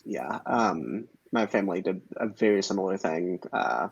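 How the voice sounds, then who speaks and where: A man's voice: low voice, lively intonation, normal speed, gravelly, breathy edge, no room sound. A man interviewing a guest over a video call, friendly and hesitant, with many fillers as he asks his questions. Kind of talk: casual oral history interview. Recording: dull, dry lo-fi video call audio.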